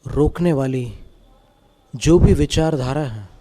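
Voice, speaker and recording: A man guiding a meditation as a spiritual teacher, giving calm, gentle, step-by-step instructions. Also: fairly high pitch, slow. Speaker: Jain monk and meditation teacher.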